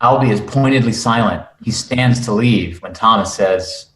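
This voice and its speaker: A man's voice: on the low side, normal speed, a bit bright. A man narrating a script at a table read, delivering stage directions in a dramatic style. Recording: echoey room.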